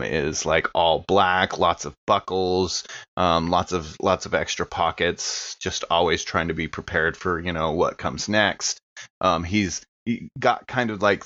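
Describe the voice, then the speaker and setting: A man's voice: deep voice, a bit bright, dry recording, highs cut off. A man playing a tabletop game on a podcast, casually describing a character and hesitantly piecing his thoughts together.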